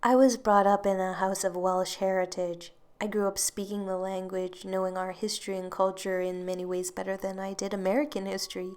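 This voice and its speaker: A woman narrating a first-person horror story in a soft, hushed way that sometimes drops to a whisper. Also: medium pitch, little pitch movement, a little fast, few pauses, clean tone.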